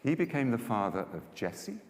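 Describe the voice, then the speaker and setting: A man performing a dramatised scripture narration in a theatrical, declamatory manner with deliberate pauses, live in a large church hall. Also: medium pitch, sing-song. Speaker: Bible storyteller performer. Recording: echoey church hall with long reverb.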